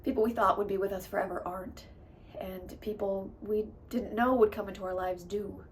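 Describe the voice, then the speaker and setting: A woman delivering a theatrical dramatic monologue in an emotive, emphatic way, swinging between soft and loud. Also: mid-range voice, fairly even intonation, normal speed. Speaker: actor performing monologues. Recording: distant mic with clear background noise.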